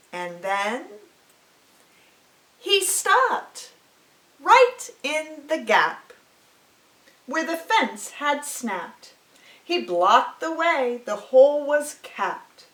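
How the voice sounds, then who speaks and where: Lady doing kids storytime read aloud, highish voice, really slow and dramatic, echoey room. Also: fairly bright tone. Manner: dramatic, deliberate storytelling with stretched words. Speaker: children's storytime host reading aloud. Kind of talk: expressive picture-book read-aloud.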